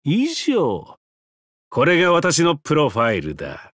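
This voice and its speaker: A man's voice: fairly high pitch, huge pitch swings, fairly bright tone, no echo, clean studio sound, exaggerated voice. An actor voicing a depraved, mocking trickster giant-god held prisoner in a game, theatrical and taunting, drawing out his words. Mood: smug, theatrical. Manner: theatrical, taunting, drawn-out words, sudden swoops and cackles. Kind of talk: theatrical game character voice lines.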